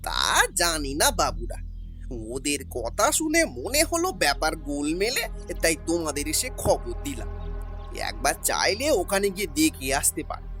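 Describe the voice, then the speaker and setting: A man's voice: a little high, fairly bright tone, heavily acted. A man playing an agitated, deferential household servant in an audio detective drama, speaking colloquially with stammering urgency. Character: agitated, deferential male household servant bringing alarming news.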